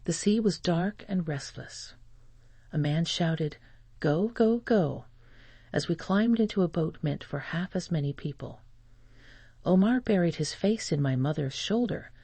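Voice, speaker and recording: A narrator reads a scripted first-person story for language learners in a measured, careful way. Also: mid-range voice, vocal fry, mellow, husky, no room sound.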